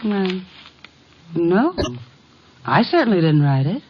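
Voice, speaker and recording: Poised, flattering woman secretary, animated intonation, vintage radio mystery, dull slightly hissy sound. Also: fairly low pitch, slow. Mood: firm. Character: charming, poised female private secretary. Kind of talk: old-time American radio drama acting. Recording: muffled, slightly noisy vintage radio recording.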